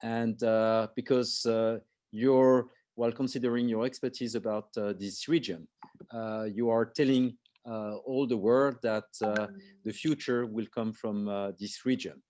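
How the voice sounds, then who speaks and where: A man hosting an online interview, speaking haltingly with heavy fillers. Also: mid-range voice, steady tone, darkish tone. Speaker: host, co-founder.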